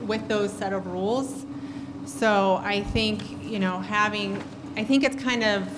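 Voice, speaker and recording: A woman making a public comment at a school board meeting, earnest and slightly halting. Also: mid-range voice. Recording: noisy meeting room audio.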